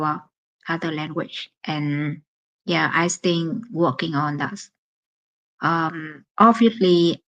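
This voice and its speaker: A woman with a Vietnamese accent, a native Vietnamese speaker hosting a casual solo live stream in English, halting and searching for words with many pauses. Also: low-pitched, a bit bright, dull audio.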